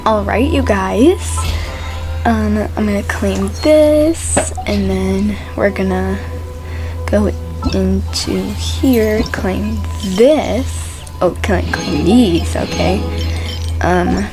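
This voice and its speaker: A woman's voice: medium pitch, drawn-out words. A woman doing casual gameplay commentary as a streamer, talking informally and directly to her viewers. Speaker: gaming streamer. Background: game music.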